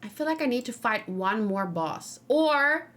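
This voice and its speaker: A woman gaming streamer chatting casually with viewers, thinking out loud as she plays and breaking into animated exclamations. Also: on the high side, measured pace. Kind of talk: casual live game commentary, chatting with viewers.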